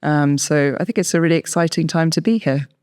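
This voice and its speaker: A woman's voice: sits low, fairly quick, darkish tone, clear, resonant tone. A woman in her thirties with a southern English accent, answering conversationally as an expert guest in an interview. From southern England. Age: 30s. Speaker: wine expert and writer, guest.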